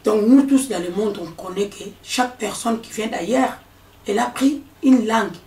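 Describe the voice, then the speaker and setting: A woman's voice: on the high side, moderate pace, a little mellow, recorded from a distance. A woman in her forties from Congo, raised in Angola, who tells her story with emphasis and repeats her points. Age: 40s. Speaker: guest. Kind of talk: personal testimony in a discussion. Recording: echoey room, speaker off mic.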